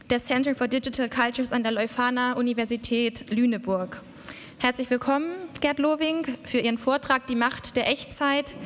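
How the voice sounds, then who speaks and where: A middle-aged woman moderating an event, chattily introducing a speaker at a stand microphone on stage with frequent filler sounds. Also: high-pitched. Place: large dark stage hall. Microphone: stand mic. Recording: long hall reverb.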